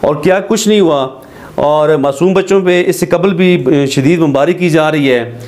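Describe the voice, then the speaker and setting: A middle-aged man with a Pakistani Urdu accent gives earnest, measured commentary straight to camera from a studio, pausing often with hesitations. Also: on the high side, a little mellow. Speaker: advocate commentator. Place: studio with green screen backdrop.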